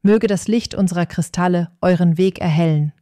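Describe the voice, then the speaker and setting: A man voicing a gentle, welcoming alien from crystal caves in a bedtime story, speaking in solemn blessings. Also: mid-range voice, normal speed, no echo, studio quality, pitched above the performer's own voice, lightly acted. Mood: solemn welcome. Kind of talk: scripted bedtime story character.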